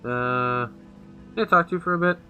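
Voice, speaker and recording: Bright-voiced man rambling over a game. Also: on the high side, normal speed. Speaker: gaming video host. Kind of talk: casual let's play commentary. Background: game music.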